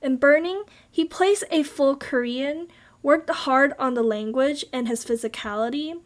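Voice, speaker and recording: High-pitched woman, scripted video essay read, steady and even. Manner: steady, read-aloud delivery.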